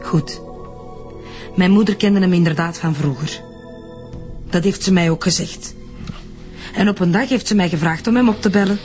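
A woman's voice: lowish voice, moderate pace, pauses often, darkish tone, faint music behind, close to an everyday voice. An actress playing a defensive, defiant woman murder suspect in a radio drama, curt and indignant, with a Flemish accent. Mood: guarded, defensive.

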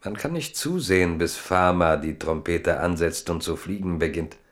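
Male narrator, very deep voice, measured literary storytelling. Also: animated.

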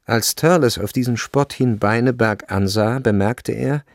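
A man's voice: mid-range voice, unhurried, no echo, clean studio sound. A man narrating a literary radio play from a script. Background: faint music.

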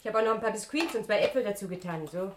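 A woman's voice: mid-range voice, expressive, very fast, close to an everyday voice. An actress playing a caring, fussing wife and mother in a 1960s German radio drama. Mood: caring, busy. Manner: solicitous, probing. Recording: echoey room, faint tape noise.

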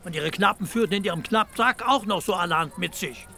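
A man's voice: sits high, a little fast, vocal fry, sharp tone, soft background music, heavily acted. An actor playing a sly innkeeper who humours a madman in a German radio play, with a mock-solemn, wheedling manner. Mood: amused, wheedling.

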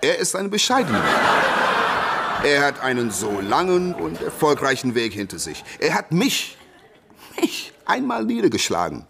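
An actor playing a cocky, mocking boxer in a German radio play, teasing with mock sincerity. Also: male voice, a little high, wildly swooping pitch, normal speed, vocal fry, background noise, heavily acted. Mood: mock-sincere.

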